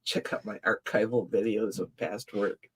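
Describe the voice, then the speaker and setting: A man with a low, slightly nasal voice co-hosting a casual chat, delivering dry wisecracks with slow, deliberate punchlines. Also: fairly even intonation, slightly rough, low-quality recording. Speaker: co-host.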